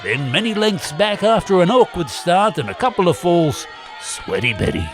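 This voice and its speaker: A man playing an excitable sports commentator in a parody race call, reeling off rider names theatrically. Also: high-pitched, moderate pace, over loud music, a loud crowd. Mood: excited, urgent.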